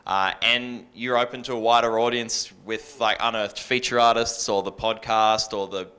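Male radio presenter, 30s, Australian, crisp-edged low voice, speaking casually on a panel. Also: steady tone, moderate pace, bright, forceful tone. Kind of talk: informal panel talk. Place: stage in an indoor venue with a small audience. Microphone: handheld microphone.

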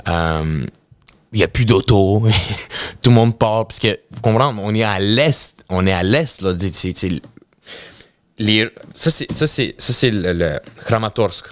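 Low-voiced Quebec French man, dry studio sound. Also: measured pace, no room sound. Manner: animated, many restarts, mixes in English words. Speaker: podcast guest, professional hockey player. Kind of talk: casual storytelling interview. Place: indoor podcast studio with bookshelves. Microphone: studio podcast mic on boom arm.

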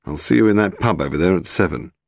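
A man's voice: sits low, expressive, fast talker, gravelly, no echo, clean studio sound, full character voice. A British man voicing a brusque, curious police inspector with a blunt, clipped delivery.

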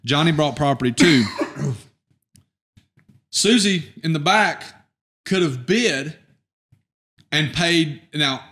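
A man's voice: a little high, expressive, slow pace, long pauses, a bit bright. A middle-aged man hosting a casual interview, recapping and asking questions on a close studio mic. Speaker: podcast host. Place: indoor podcast studio at a round table. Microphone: boom-mounted podcast microphone up close.

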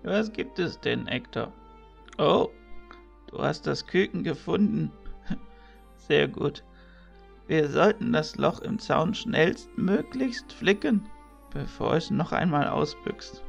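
A man plays a kindly old mentor in a fantasy audio drama. His voice is heavily gravelled and he takes his time, wise and gently teasing. The recording is slightly dull, with faint music underneath. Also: on the high side, animated, slow pace, pauses often, exaggerated voice. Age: older.

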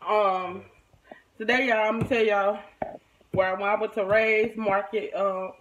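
A woman's voice: medium pitch, fairly even intonation, unhurried. American woman vlogging, noisy home recording. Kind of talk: casual chat to camera, showing purchases. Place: room at home, indoors. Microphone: phone or camera at arm's length.

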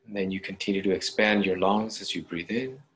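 A man leading a guided meditation, giving gentle, unhurried step-by-step instructions with pauses. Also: low-pitched.

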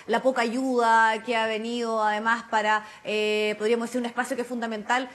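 Higher-voiced woman news anchor. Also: a bit bright. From Chile. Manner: fluent, measured questioning. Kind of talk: TV interview questions. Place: indoor room.

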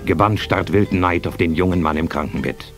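A man's voice: deep voice, fairly even intonation, a little fast, music in the background. A man narrating a scripted German radio play as its storyteller.

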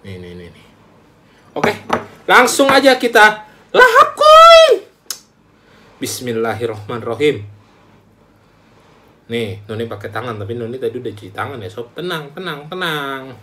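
A young man, chatting casually to camera in a small room as a food vlogger, animated and talkative. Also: medium pitch, moderate pace, pauses often, slight background noise. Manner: chatty, animated, calls himself by name. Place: small room indoors, plain wall.

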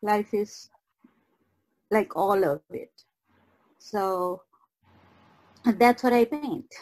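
A woman guest in a reflective podcast conversation on a video call, speaking haltingly and searching for words. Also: medium pitch, a little mellow, rough recording. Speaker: guest artist.

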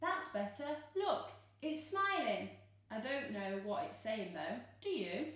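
A woman in her thirties reading a picture book aloud to children in an expressive, exclaiming, coaxing teacher's manner. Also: on the high side, slow. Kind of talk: animated children's storybook read-aloud. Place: living room, seated on a sofa. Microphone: camera a few metres away. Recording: echoey room, distant microphone.

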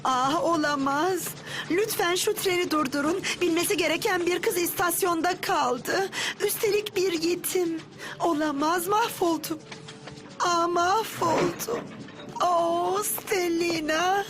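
Shrill, fussy cartoon chaperone. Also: female voice, slow pace, music in the background. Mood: panicked. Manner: fussy, scolding, shrill exclamations. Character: strict, easily panicked female orphanage escort. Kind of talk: dubbed cartoon acting.

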